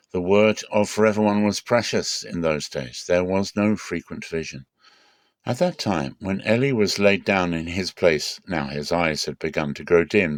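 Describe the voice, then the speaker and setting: A man's voice: low-pitched, dry recording. A man reading the Bible aloud as a dramatic scripted narration. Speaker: Bible reader.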